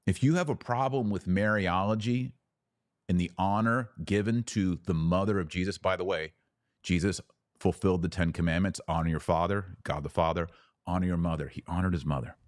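A man hosting a podcast, giving an emphatic theological teaching talk with rhetorical questions and dramatic pauses. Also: low-pitched. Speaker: podcast host.

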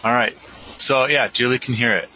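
A man's voice: medium pitch, steady tone, moderate pace, bright, forceful tone, muffled recording, a little hiss or hum. A man hosting a live podcast in a rambling, casual, self-deprecating way. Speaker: podcast host.

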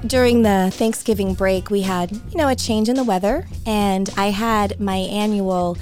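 A woman hosting a solo podcast in a chatty, conversational way, her voice hoarse and coming and going. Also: medium pitch, fairly even intonation, moderate pace, darkish tone, soft background music. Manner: chatty and conversational, with frequent restarts. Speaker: podcast host. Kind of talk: casual solo podcast talk.

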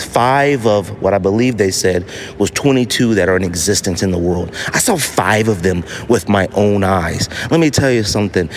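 A man hosting a podcast, giving a casual, opinionated monologue in an animated, slangy way. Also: fairly low pitch, a little hiss or hum. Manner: animated, slangy, acts out imagined quotes. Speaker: podcast host.

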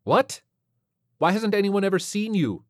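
A man voicing a brisk, irritable female lieutenant and acting captain in a story, direct and demanding. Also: sits high, sing-song, no room sound, studio quality, pitched above the performer's own voice. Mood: questioning, urgent.

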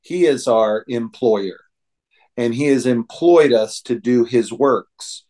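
Slow-talking American man teaching on a podcast. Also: medium pitch, warm tone. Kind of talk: religious teaching talk.

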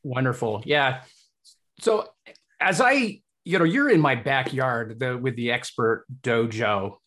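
A man from England hosting a casual interview, with halting phrasing that circles around its point. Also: mid-range voice, lively intonation, fairly bright tone. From born in Hull, Yorkshire, England. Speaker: podcast host.

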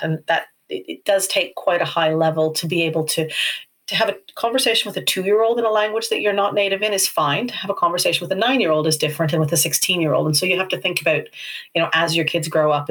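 Measured woman language expert. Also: deep voice, a bit bright. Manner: measured, explaining points step by step with restarts. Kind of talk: explanatory talk.

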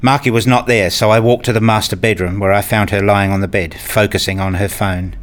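A man's voice: lowish voice, fairly even intonation, a little fast. A man narrating a scripted radio play in the first person.

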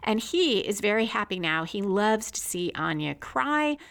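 A woman hosting a podcast, reading a scripted recap and story analysis in a measured, explanatory way. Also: mid-range voice, big pitch swings, gravelly, a bit bright. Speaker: podcast host.

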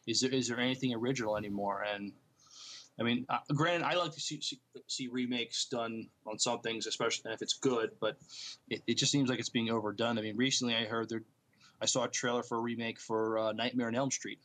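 A man of average pitch co-hosts an informal podcast on films. His delivery is casual and somewhat halting, in the manner of an amateur fan sharing news and opinions. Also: fairly even intonation, a bit bright. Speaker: podcast co-host. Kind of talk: casual movie news chat.

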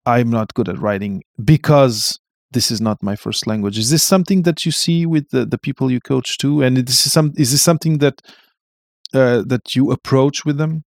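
A man's voice: medium pitch, normal speed, gravelly, dry recording, clean studio sound. Hesitant male interview host. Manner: hesitant, many restarts and fillers. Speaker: podcast host. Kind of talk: live-streamed interview.

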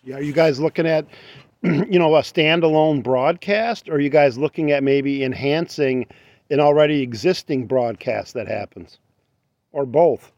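A man hosting an interview, asking halting questions with many fillers. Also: a little high, a little mellow, no room sound, lo-fi sound. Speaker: interviewer host.

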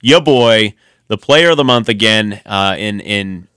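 A man's voice: fairly low pitch, animated, measured pace, sharp tone, clear, resonant tone, dry recording. A man co-hosting a sports podcast in a radio studio, chatting casually and rambling through lots of ums and restarts. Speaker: podcast co-host.

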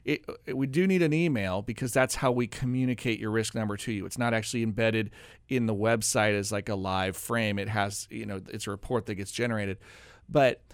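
A man's voice: lowish voice, brisk, smooth, no room sound, clean studio sound. A man hosting a talk radio show as a financial adviser, explaining things in an informal, folksy, conversational way. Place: radio studio.

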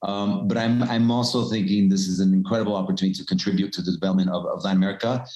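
Guest investor, male, low voice, calm and businesslike, roomy echo. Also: racing. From Colombia. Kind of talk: conversational interview answers.

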